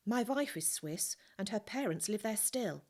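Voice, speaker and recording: A woman's voice: medium pitch, expressive, normal speed, darkish tone, voice pushed up. A woman reading aloud, voicing a grieving father who speaks in a tired, subdued way. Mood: weary. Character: grieving father, worn out and quiet.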